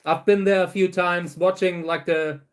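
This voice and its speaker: A man hosts a relaxed livestream and chats with his viewers. His voice sits fairly high for a man. He rambles along at an easy pace, often restarting words. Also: normal speed. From Germany. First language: German. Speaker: host of a livestream channel. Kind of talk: casual livestream chat, answering viewers.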